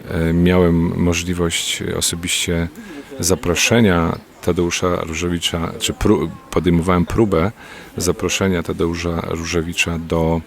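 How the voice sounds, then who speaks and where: A man's voice: deep voice, flat delivery, unhurried, creaky. A man answering interview questions off the cuff, hesitant and full of filler sounds. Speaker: theatre festival organizer, interviewee.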